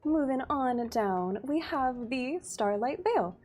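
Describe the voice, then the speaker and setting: A woman's voice: sits high, expressive, slow pace, a little mellow, soft background music. A woman, a community manager, presenting game update details on a livestream in an upbeat, giggly manner. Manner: upbeat, giggly, reads skill details steadily.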